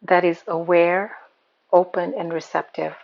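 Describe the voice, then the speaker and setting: A woman guiding a meditation as a yoga nidra teacher, speaking in a calm, soothing, unhurried manner. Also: lowish voice, vocal fry, muffled recording. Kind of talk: guided meditation.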